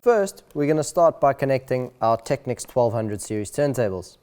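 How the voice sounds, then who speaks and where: A man presenting an instructional video in a clear, deliberate, step-by-step way. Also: medium pitch, lively intonation, a little mellow. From South Africa.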